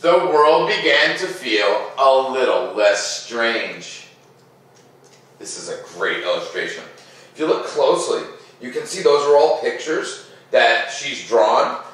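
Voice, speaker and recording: Expressive man reading aloud, very echoey room. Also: medium pitch, takes it slowly. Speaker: read-aloud host. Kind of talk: storybook read-aloud with casual commentary for children. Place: living room, seated in armchair by fireplace. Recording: roomy echo, distant microphone.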